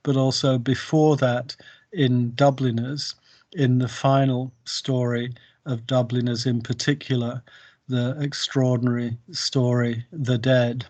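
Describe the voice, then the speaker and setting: A man lecturing on literature in a deliberate, emphatic way, over an online video call. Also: medium pitch, little pitch movement, a little mellow. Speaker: university lecturer. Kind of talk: literature lecture with quotations read aloud.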